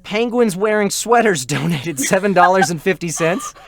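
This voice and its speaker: A man's voice: sits high, lively intonation, moderate pace, fairly bright tone, a little hiss or hum. A man hosting a casual livestream, reading out subscriber messages and thanking viewers. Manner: appreciative, thanks viewers often. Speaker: livestream host. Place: indoors in an air-conditioned room.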